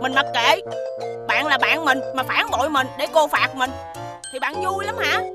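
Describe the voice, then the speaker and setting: A woman voicing a mischievous, feisty but loyal young schoolboy in an animated children's cartoon style. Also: sits high, moderate pace, lightly acted. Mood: indignant. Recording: music behind the voice.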